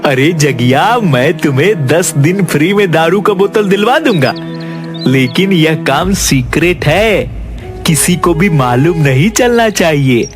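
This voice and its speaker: Man acting a grumbling greedy village father, animated. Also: fairly high pitch, unhurried, over music. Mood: conspiring. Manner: grumbling, scornful. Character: greedy, scheming father, village sweet seller. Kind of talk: Hindi moral-story cartoon acting.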